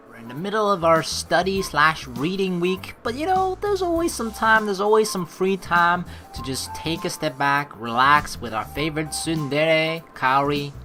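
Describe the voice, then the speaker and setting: A woman's voice: low voice, sharp tone. A woman hosting a game playthrough, reading the text aloud and adding jokey, rambling casual commentary. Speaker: let's play host. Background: game music.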